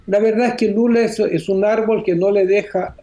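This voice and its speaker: A Brazilian man who learned Spanish as a second language, giving measured political commentary as a radio columnist. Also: high-pitched, fairly even intonation, a crowd in the background. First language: Portuguese. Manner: measured, explanatory, with frequent "¿no?" tags. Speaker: columnist, economist.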